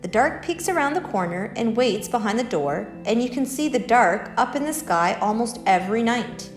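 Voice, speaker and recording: A woman's voice: fairly high pitch, lively intonation, normal speed, roomy sound, faint music behind. A woman hosting a children's story time, reading picture books and talking in simple, encouraging words to young listeners. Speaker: library story-time host.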